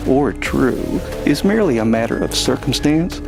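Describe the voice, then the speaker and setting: Gravelly male story narrator. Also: mid-range voice, animated, normal speed, music in the background.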